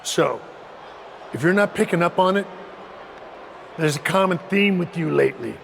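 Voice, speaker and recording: An actor playing a domineering male wrestling faction leader in a video game, speaking in a slow, measured, condescending way. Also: sits high, animated, vocal fry, a little hiss or hum. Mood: menacing.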